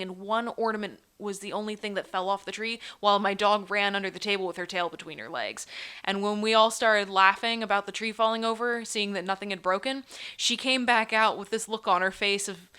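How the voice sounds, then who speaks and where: A woman's voice: medium pitch, pushed and bright, clean tone. A woman from Pennsylvania with an American accent, presenting and telling personal stories as a guest. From Pennsylvania, United States.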